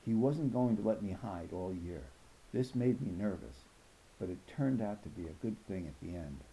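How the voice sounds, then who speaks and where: A man reads a children's novel aloud as a storyteller. His voice is very soft-edged and warm, with some gravel in it. The delivery moves up and down expressively from phrase to phrase. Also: fairly low pitch, expressive, normal speed, poor audio. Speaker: audiobook reader.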